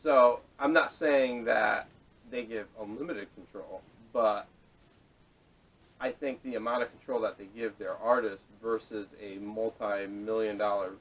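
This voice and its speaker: A man hosting a casual podcast discussion, speaking haltingly with repeated words, picked up by a distant camera microphone. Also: on the low side, a bit bright. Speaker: podcast host. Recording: distant, salvaged camera audio.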